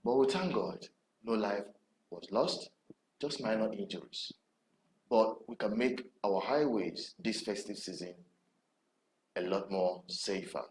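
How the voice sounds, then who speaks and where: A man with a Nigerian accent hosting an online TV programme, addressing viewers in deliberate, emphatic phrases with dramatic pauses. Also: lowish voice. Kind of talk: presenter commentary to camera. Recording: roomy echo with background noise.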